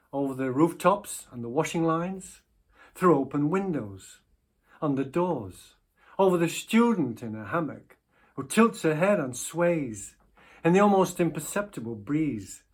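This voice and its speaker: A man reciting poetry with measured, deliberate phrasing. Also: fairly high pitch. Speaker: poetry reader.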